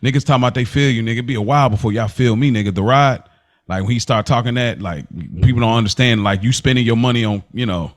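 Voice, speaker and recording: A man's voice: medium pitch, fast. A man co-hosting a casual podcast, speaking in a slangy, emphatic, rambling way. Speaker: podcast co-host.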